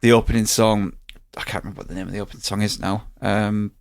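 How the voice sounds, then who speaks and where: A man's voice: lowish voice, little pitch movement, fast talker, vocal fry, no echo, clean studio sound. A man talking casually and enthusiastically as a podcast host reviewing a show, swearing freely.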